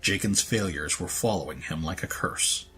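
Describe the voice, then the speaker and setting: Deep male narrator reading a dramatic story, faint music under him. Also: moderate pace, pushed and bright.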